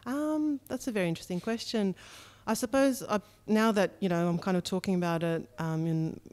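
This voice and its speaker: A woman's voice: mid-range voice, fairly quick, darkish tone, clean tone. A woman in her thirties, originally from Russia and, talking candidly as a podcast guest on a studio mic, with frequent ums. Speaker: startup co-founder, podcast guest. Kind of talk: relaxed interview conversation. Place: bright studio room with a window. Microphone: studio mic on a boom arm.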